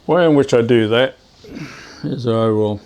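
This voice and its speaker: Halting man giving a hands-on DIY demo. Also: medium pitch, a little mellow, clear, resonant tone. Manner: halting, pausing mid-phrase to search for words. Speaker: presenter of DIY radio tips. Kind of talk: hands-on demonstration, casual and unscripted.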